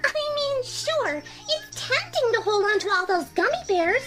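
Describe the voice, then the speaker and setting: Loud music plays behind an extremely high, swooping cartoon pony. She is a bubbly, scatterbrained girl pony who rambles cheerfully and excitedly. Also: a little slow, full character voice. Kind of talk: cartoon-style fan fiction dramatization.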